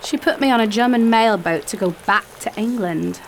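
A frightened young woman stranded far from home, anxious and pleading, as a young actress plays her. Her voice sits a little high, with a faint hiss behind it. Radio play acting. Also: moderate pace, natural voice. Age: young adult. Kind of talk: amateur radio drama acting.

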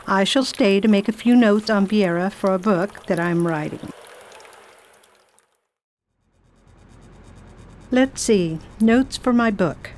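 Woman as crisp, imperious lady travel writer, dry wit, takes charge; radio play lead with faint hiss. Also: mid-range voice, normal speed, a little mellow, natural voice. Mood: brisk. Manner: crisp, imperious, dryly witty. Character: brisk, commanding spinster travel writer, Miss Gregory. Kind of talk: radio drama acting.

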